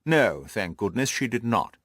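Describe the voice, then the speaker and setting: A man's voice: fairly low pitch, very animated, measured pace, fairly bright tone, no echo, studio quality, heavily acted. A man voicing a haughty, self-satisfied lord in an audiobook, speaking in a pompous, formal, long-winded way. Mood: self-justifying. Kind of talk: audiobook character dialogue.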